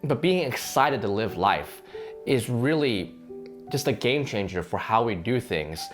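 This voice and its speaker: A slightly hoarse man, a fitness channel host, talks earnestly to the camera in a casual reflection, with frequent restarts and fillers. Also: medium pitch, expressive, unhurried. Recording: faint guitar music underneath.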